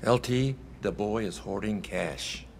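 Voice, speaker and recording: A man's voice: lowish voice, takes it slowly, vocal fry, a little hiss or hum. A man playing a laconic, commanding sheriff in a video game, speaking with terse authority. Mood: cool. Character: laconic, commanding male sheriff in cowboy hat. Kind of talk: cinematic game dialogue.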